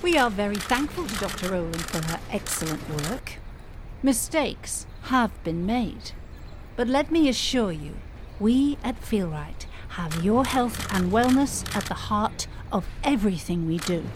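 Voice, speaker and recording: A woman playing a polished, reassuring corporate chief executive with a measured manner in an audio drama. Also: medium pitch, animated, background noise, lightly acted. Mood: smooth reassurance. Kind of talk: scripted press conference acting.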